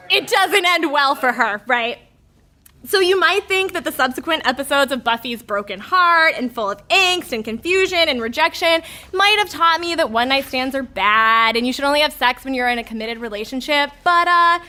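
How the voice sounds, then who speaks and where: A woman in her thirties with a high, bright voice performs a comic personal confession. She is candid and wry, delivering it as a storyteller and slipping into the lines she quotes.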